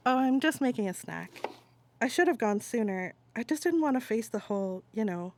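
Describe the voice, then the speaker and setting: A woman performing an exasperated adult venting to a cousin in a casual, halting phone-call monologue. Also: on the high side, animated, darkish tone, slight background noise, voice pushed up. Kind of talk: dramatic monologue, conversational stage reading.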